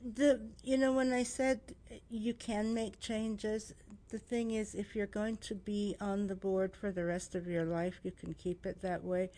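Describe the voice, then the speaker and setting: A woman talks as a radio guest with emphatic, animated energy, laughing between points. She recounts her board experience in a mid-pitched, everyday voice. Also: measured pace, poor audio. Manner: emphatic, animated storyteller who laughs often. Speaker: former homeowners association board member. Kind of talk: conversational radio interview.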